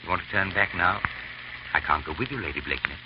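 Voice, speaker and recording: Casting: male actor as loyal, measured gentleman, deep voice, noisy vintage radio drama. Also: lightly acted. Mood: grave, reassuring. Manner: measured, grave. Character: steady, loyal English gentleman escort. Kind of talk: 1930s radio drama acting. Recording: muffled, hissy 1930s radio recording.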